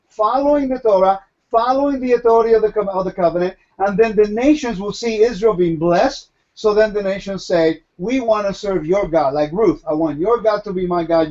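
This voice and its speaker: A man's voice: high-pitched, darkish tone, muffled recording. A man from Puerto Rico teaching Torah in a question-and-answer session, emphatic and posing questions he then answers himself. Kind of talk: Q&A Bible teaching.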